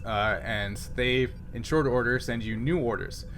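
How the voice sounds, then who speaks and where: A man with a mid-pitched voice serves as game master for a tabletop roleplaying session. He lays out the situation in deliberate, improvised narration, recorded in a room with clear noise. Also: a bit bright.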